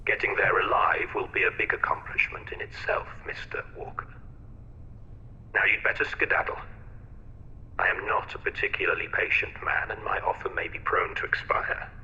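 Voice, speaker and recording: A man playing an eccentric, sinister chief surgeon in a video game, speaking with florid, mocking courtesy in a breathy half-whisper. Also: deep voice, steady tone, moderate pace, bright, forceful tone, muffled recording, heavily acted. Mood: mocking, condescending. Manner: theatrical, florid, mocking politeness. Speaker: game voice actor. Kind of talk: video game cutscene acting. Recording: echoey intercom in a reverberant hall.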